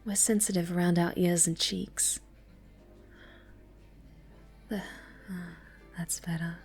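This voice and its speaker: Casting: woman to play snobby, bratty cat girl for intimate ASMR roleplay; unhurried, soft and breathy, coy, stammers when flustered. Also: lowish voice, steady tone, soft background music. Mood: shy, soft. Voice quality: soft, often breathy and whispered. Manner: halting, stammering, coy, haughty.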